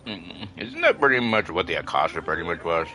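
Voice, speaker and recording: A man's voice: low-pitched, wildly swooping pitch, a little fast, noisy background. A man giving casual live gameplay commentary as a streamer, in an offhand, muttering way. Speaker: game streamer. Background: game music.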